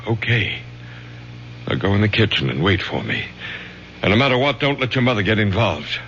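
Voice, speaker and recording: Low-voiced, testy middle-aged father. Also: very animated, moderate pace, a bit bright. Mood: urgent, controlling. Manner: stammering, then clipped and commanding. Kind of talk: 1970s radio drama acting. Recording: dull, slightly noisy old radio recording.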